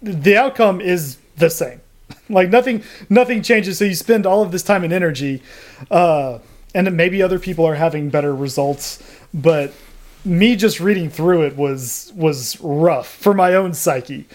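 A man hosting a podcast who talks in a halting way and laughs between phrases. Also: high voice. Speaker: podcast host. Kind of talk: casual podcast chat.

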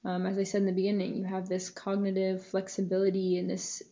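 A woman's voice: mid-range voice, monotone, quick pace, dark tone, dull audio. A young woman speaking as a podcast guest, thoughtful and earnest, with hesitant fillers. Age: young adult. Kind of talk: casual podcast interview.

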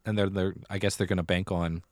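A man's voice: sits low, level tone, fast talker, darkish tone, no room sound, studio quality. A man co-hosting a podcast, chatting casually and giving his opinions in an informal, fannish way. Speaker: podcast co-host. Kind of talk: casual chat about musical theatre.

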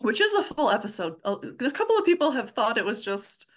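A woman speaking as an interview guest in a casual, halting way, with thoughts that trail and pick up again. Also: a little high, very animated. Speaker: podcast guest, comedy audio drama writer.

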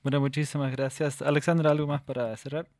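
Casting: male co-host, halting with fillers, dry close mic. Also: mid-range voice, little pitch movement, darkish tone, dry recording. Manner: hesitant, many fillers. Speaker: co-host interviewer. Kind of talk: casual interview chat.

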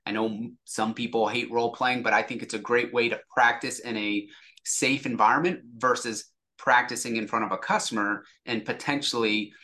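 A man's voice: mid-range voice, steady tone, moderate pace, pushed and bright. A man speaking as an interview guest, a sales executive explaining things casually and acting out example dialogue.

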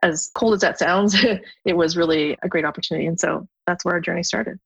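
A woman from Canada, a guest on a podcast, talking thoughtfully in a relaxed conversation, often restarting her sentences. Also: on the low side, brisk, creaky, slightly rough, lo-fi sound. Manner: thoughtful, with frequent restarts and fillers. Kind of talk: conversational interview.

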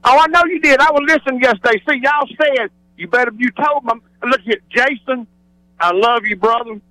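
Southern guy on a radio call-in, really high voice, folksy and rambling, dry sound. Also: a little fast, bright, forceful tone, dry recording. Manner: exuberant, digressive, folksy showman. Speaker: regular radio phone-in caller. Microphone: telephone. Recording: radio broadcast phone call.

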